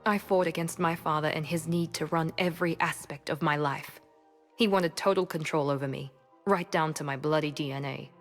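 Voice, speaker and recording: An actress playing a proud, guarded operative in a video game, with a controlled, earnest delivery. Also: female voice, deep voice, fairly even intonation, moderate pace, faint music behind, lightly acted. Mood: confessional. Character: guarded, proud female operative with a troubled past.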